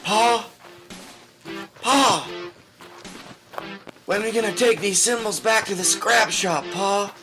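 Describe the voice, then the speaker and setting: A man's voice: high-pitched, slow, long pauses, music in the background. A man playing a naive, whiny young boy in an audio drama, pleading and insistent. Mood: pestering, anxious.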